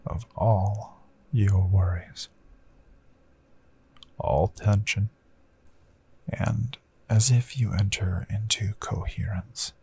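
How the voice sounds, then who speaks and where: A gentle male hypnotist, acted by a man, murmurs a guided meditation in a very husky, breathy voice full of gravelly fry. He is soothing and deliberate, leaving long pauses, with a crisp bright edge to the hush. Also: lowish voice, exaggerated voice. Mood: hushed, intimate. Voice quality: breathy, often slipping into whisper. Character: gentle male hypnotist Count, calm and reassuring. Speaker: hypnotist, audio host. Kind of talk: slow hushed guided hypnosis meditation, ASMR.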